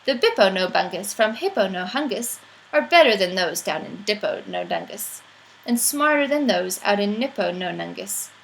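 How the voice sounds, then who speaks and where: A woman's voice: mid-range voice, animated, moderate pace, gravelly, slight background noise, low-quality recording. A woman reading a rhyming children's picture book aloud in a playful, theatrical storytelling manner.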